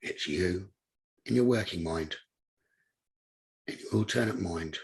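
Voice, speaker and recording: Male meditation guide, somewhat deep and strongly raspy-breathy, calm instructional delivery in short spaced phrases. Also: a little slow. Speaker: meditation teacher.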